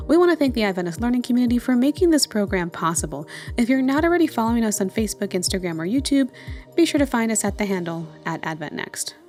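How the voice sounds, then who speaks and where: A woman in her thirties reading a scripted podcast introduction in a clear, presentational way. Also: on the high side, very animated, quick pace, a little mellow. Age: 30s. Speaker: podcast host. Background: music.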